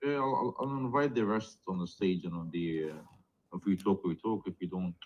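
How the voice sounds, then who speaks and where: Deep voiced guy w a slight arabic accent, stammers a lot, muffled lo-fi sound. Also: moderate pace, darkish tone. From Egyptian, raised in the Gulf. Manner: hesitant, stammering restarts. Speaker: co-host. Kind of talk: casual chat. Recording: dull lo-fi audio.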